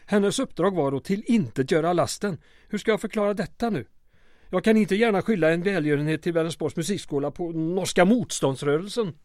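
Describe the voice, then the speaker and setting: A man's voice: sits high, a little mellow, no echo, clean studio sound, close to an everyday voice. A man playing a flustered, wordy and evasive small-town mayor in an old-time radio comedy drama. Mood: suspicious, exasperated. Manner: wordy, formal, stammering when flustered.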